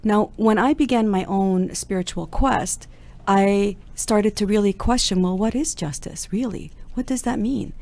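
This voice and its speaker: A woman's voice: mid-range voice, a little slow, darkish tone. A woman from Canada, a guest on a radio interview, reflecting thoughtfully on her reasons.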